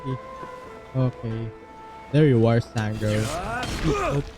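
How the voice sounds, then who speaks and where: A woman's voice: rumbling low, steady tone, takes it slowly. A woman, casually reacting and talking to herself while playing a game. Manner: offhand, talks to herself. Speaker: gamer streaming a playthrough. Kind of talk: casual reactions over gameplay. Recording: voice over game audio and music.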